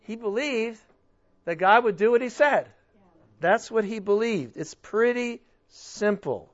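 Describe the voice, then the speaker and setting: A man preaching a sermon with a strained voice, nearly worn out, asking the congregation rhetorical questions and swinging between loud and soft. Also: high-pitched, lively intonation, measured pace, lo-fi sound. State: strained, almost losing his voice. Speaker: pastor.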